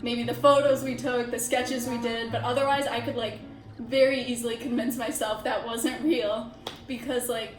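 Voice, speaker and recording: A woman's voice: a little high, steady tone, normal speed, reverberant, soft background music, rough recording. A woman in her twenties, an architecture student, speaking reflectively in a casual interview with many filler words. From India, raised in Chicago suburbs. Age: 20s.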